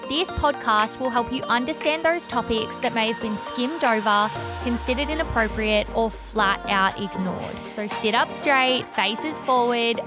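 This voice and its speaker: An Australian woman hosting a health podcast, enthusiastic and gushing, her voice a bit croaky from an early start. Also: a little high, measured pace, few pauses, music in the background. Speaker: podcast host, health teacher. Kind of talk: upbeat podcast interview.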